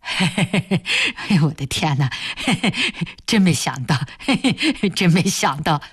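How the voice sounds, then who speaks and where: A woman's voice: on the low side, expressive, moderate pace, no echo, clean studio sound. A woman voicing a good-natured, eager and anxious young village husband in an audiobook, with rustic, exclamatory speech. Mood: amazed delight. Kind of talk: audiobook character voice.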